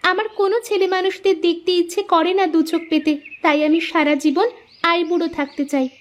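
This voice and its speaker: A woman's voice: extremely high pitch, fairly even intonation, moderate pace. A woman voicing a proud, honest young village girl in a moral story cartoon, speaking earnestly and firmly. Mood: defiant.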